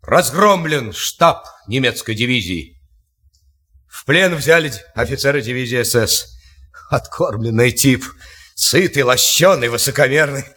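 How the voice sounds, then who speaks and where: A crisp Soviet officer. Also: male voice, mid-range voice, lively intonation, measured pace, bright, forceful tone, heavily acted. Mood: reporting. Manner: crisp military reporting. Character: Soviet officer reporting to his general, man. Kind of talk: war film acting.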